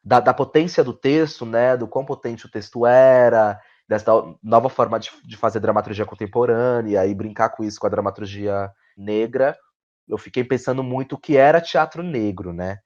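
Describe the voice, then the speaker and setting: A man's voice: mid-range voice, normal speed, darkish tone. A man with a Brazilian accent gives reflective interview answers, searching for words, repeating phrases and often ending on tag questions. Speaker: guest playwright and actor. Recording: dull, lo-fi audio.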